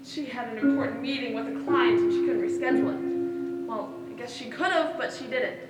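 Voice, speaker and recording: An actress plays a young girl recounting a troubling day on stage. Her voice is high and childlike, recorded from a distance in a very echoey space with loud music behind. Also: moderate pace, natural voice. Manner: plain, childlike storytelling. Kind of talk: theatrical stage monologue. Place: stage in a hall, one-act play performance.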